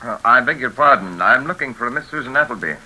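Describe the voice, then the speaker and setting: An actor playing a determined young fiancé in a 1940s radio thriller, courteous, earnest and firm. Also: male voice, medium pitch, lively intonation, quick pace, sharp tone, slightly rough, full character voice, low-quality recording. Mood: polite, probing. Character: determined, polite young fiancé come to the rescue. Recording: sizzling old radio broadcast.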